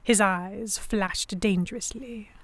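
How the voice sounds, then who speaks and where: A woman plays a young Victorian noblewoman telling her own story in an audio drama. She is genteel and expressive, anxious but defiant, at a medium pitch in a very dry recording. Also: takes it slowly, no room sound. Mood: frightened, defiant. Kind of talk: first-person dramatic narration, historical romance audio drama.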